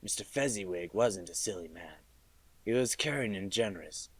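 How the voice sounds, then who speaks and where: A man's voice: fairly low pitch, animated, normal speed, slightly rough. A man playing a wary, wistful old businessman in a radio drama.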